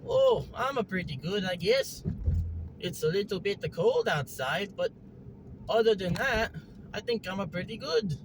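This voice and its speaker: An eager cartoon wanderer, innocent and forgetful. The voice is fairly high and singsong, with simple broken phrasing and swooping pitch, over clear vehicle noise. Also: male voice, animated, unhurried. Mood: friendly, naive.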